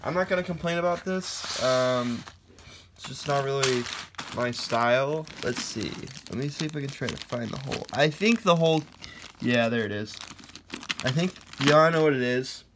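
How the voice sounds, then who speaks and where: A young man speaks in a hoarse, slightly raspy voice, rambling casually with plenty of "uh" and "um". The phone recording carries a clear layer of noise and the crinkle of plastic bags. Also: medium pitch, moderate pace. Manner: rambling, many fillers, off-hand. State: hoarse from a sore throat. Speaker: Lego hobby vlogger. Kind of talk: casual unboxing chat. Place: indoors, room with wooden floor. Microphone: phone camera held over the floor. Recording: noticeable background noise, package crinkling.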